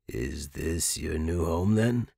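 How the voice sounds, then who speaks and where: A man voicing a creepy, gruff, graying cab driver with a crackly voice in an audio story. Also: very deep voice, lively intonation, slow, mellow, no room sound, clean studio sound, pitched below the performer's own voice, heavily acted. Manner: gruff, huffing.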